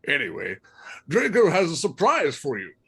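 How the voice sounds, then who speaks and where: Gravelly old wizard headmaster, played by a man. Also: fairly high pitch, wildly swooping pitch, moderate pace, a bit bright, exaggerated voice. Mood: casual. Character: old male wizard headmaster, casually chatty. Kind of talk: comedic dramatic reading of fan fiction.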